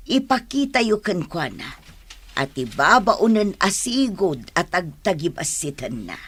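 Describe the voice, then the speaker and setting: A woman's voice: mid-range voice, fairly bright tone, husky, dry recording, full character voice. A woman with an Ilocano Filipino accent playing a kindly, motherly village elder who encourages the young in a radio drama.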